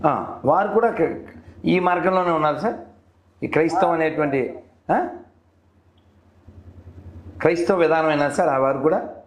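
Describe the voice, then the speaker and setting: A man's voice: a little high, moderate pace, pauses often. A man debating conversationally on a call, persistently probing with leading questions as the host. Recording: roomy, echoey phone call recording.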